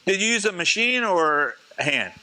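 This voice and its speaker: A man, a chef, teaching a live cooking demonstration in a casual, chatty way, slightly hoarse as he is losing his voice. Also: sits high, very animated, normal speed, sharp tone, rough recording. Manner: casual, chatty, talks to the class.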